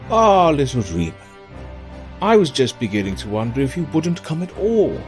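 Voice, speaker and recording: An actor playing a seductive, menacing villain in an audio drama, with a drawling, theatrical, teasing delivery. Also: male voice, medium pitch, sing-song, moderate pace, soft background music, close to an everyday voice. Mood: playful, coaxing.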